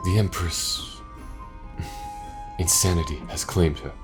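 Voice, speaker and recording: A man's voice: low voice, music in the background. A man playing a gallant, formal alien sailor warrior with courteous, archaic speech in an audio roleplay drama. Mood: grave, courteous. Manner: formal, archaic wording, measured.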